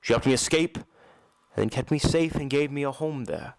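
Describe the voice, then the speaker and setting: A man playing a tormented, embittered masked opera recluse in an audio drama, telling his story in a slow, solemn, dramatic way. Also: mid-range voice, creaky, no room sound, lightly acted, poor audio. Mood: solemn, reminiscing. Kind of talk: amateur audio drama acting.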